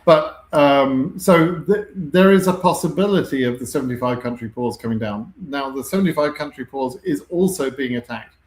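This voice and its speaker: A man's voice: mid-range voice, moderate pace, few pauses, echoey room, low-quality recording. A man with a British accent hosting a live question-and-answer stream, talking conversationally with frequent ums and self-corrections. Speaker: host answering viewer questions.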